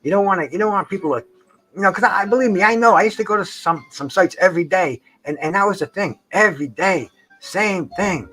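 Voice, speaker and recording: An older man, in his sixties or beyond, hosting a casual live stream in slangy, profane, halting speech with repeated words. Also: high-pitched, fairly bright tone. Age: 60s or older. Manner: slangy, profane, says "man" and "bro" often. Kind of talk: rambling casual chat to livestream viewers. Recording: jazz music playing behind the voice. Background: jazz concert recording.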